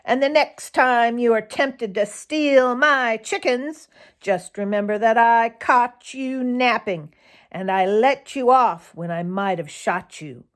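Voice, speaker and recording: Storybook farm boy, played by a woman: raised, loud, teasing shout, merry and good-natured. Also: fairly high pitch, slow, voice pushed up. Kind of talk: storybook character voice.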